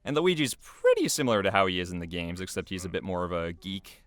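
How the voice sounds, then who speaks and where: A man's voice: low-pitched, sing-song, fast talker, soft background music. A man giving casual, unscripted behind-the-scenes commentary in a rambling, hesitant way.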